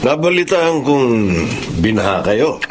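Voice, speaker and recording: Calm, assured benefactor, noisy recording. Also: male voice, mid-range voice, a little slow. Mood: kindly, assured. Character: generous well-off male benefactor, calm and assured. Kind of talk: Tagalog radio drama acting. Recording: noisy radio drama recording.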